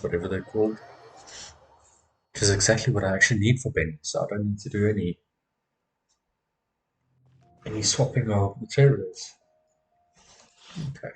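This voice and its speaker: A man's voice: on the low side, normal speed, long pauses, slight background noise, rough recording. A man giving casual live gameplay commentary, muttering to himself and often repeating words. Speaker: game streamer. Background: game music.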